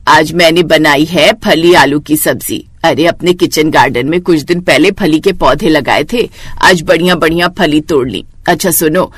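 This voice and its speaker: A woman's voice: sits low, slightly rough, voice pushed down. A woman voicing a proud, caring older mother-in-law in a moral story, homely and earnest. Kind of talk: Hindi moral story voice acting.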